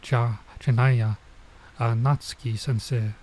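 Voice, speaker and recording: A man with a middling pitch talks as a game streamer. He reads visual novel text aloud and comments in a casual way, slowing and halting as he sounds out unfamiliar words. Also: fairly even intonation, darkish tone, a little hiss or hum. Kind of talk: casual let's play, reading dialogue aloud and commenting.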